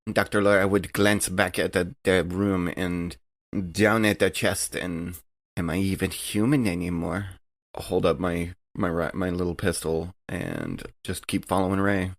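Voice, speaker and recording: A man's voice: sits low, steady tone, measured pace, no room sound. A man playing a tabletop RPG, improvising what his character does and says. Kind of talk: improvised narration of a character's actions.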